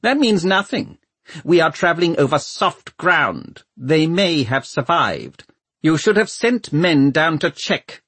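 A man's voice: a little high, big pitch swings, takes it slowly, a bit bright, breathy edge, dry recording, voice pushed up, exaggerated voice. A man voicing a cold, imperious old Lord Mayor with a thin voice and a clipped manner, in an audiobook. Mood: stern, suspicious. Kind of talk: audiobook character voice.

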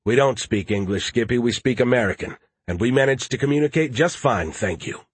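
A man's voice: medium pitch, animated, brisk, husky, dry recording. A man voicing a wry, plain-spoken American soldier with casual, defensive dry banter, in an audiobook. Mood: stubborn, dry.

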